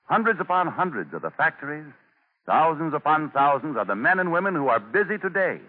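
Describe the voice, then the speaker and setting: Animated 1940s radio pitchman, tinny broadcast. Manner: earnest, declamatory. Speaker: commercial announcer. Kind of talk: scripted 1940s radio advertising narration.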